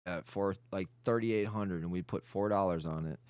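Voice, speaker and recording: A man, rambling and animated as he tells stories in a casual podcast chat. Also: sits low, normal speed, smooth, no echo, clean studio sound. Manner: rambling, animated, restarts a lot. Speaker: podcast guest, cannabis advocate.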